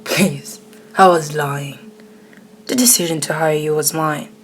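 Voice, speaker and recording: Woman as breathy, low, seductive male CEO. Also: a little hiss or hum. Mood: smug, coaxing. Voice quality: breathy, often whispered. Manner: seductive, slow, smug. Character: dominant, flirty, possessive male CEO in love. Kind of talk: ASMR romance roleplay.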